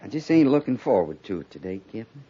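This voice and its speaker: A man's voice: fairly low pitch, sing-song, fast, dark tone, breathy edge, heavily acted. A man playing a wry, grumbling but loyal frontier sergeant with a rustic American drawl in a 1950s radio western. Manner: drawling, sardonic understatement. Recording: dull, noisy 1950s radio recording.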